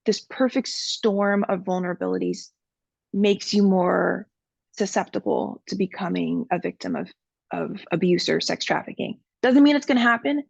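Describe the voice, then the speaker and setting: A woman's voice: mid-range voice, moderate pace, vocal fry, husky, dry recording, muffled recording. A woman from Miami, a podcast guest, speaking earnestly and candidly with frequent fillers. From Miami, USA. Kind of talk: personal interview talk.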